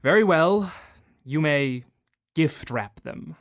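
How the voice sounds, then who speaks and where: An actor playing a bitter, miserly old moneylender in a student radio drama, snarling and barking scornful orders. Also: male voice, on the high side, animated, takes it slowly. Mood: cold.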